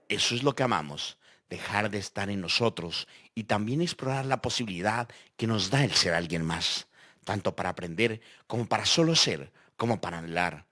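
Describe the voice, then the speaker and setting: A man's voice: mid-range voice, fairly bright tone. A man playing a philosophical character who muses in aphorisms in a scripted educational audio fiction.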